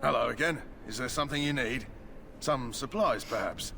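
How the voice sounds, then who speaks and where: Male actor as servile quartermaster, mid-pitched, hesitant, shifty, fantasy game dialogue, clearly noisy background ambience. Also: normal speed, natural voice. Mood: obliging. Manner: stammering, hesitant, obsequious.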